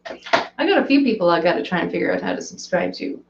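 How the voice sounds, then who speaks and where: A woman hosting a casual live stream from her fish room, chatting and answering viewer comments with a hoarse, cracking voice. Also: on the low side, lively intonation, quick pace, noisy background. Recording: roomy, slightly dull home recording.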